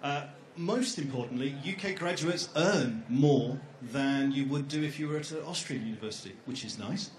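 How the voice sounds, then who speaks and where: A man's voice: medium pitch, normal speed, few pauses, a little hiss or hum. A middle-aged man with an ordinary mid-range voice co-presents at a fair. He rambles a little and slips in jokes and ums, and the sound of a big hall hangs around his words. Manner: jokey, rambling, with many fillers. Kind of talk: informal presentation at an education fair. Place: large echoey exhibition hall. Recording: echoey hall.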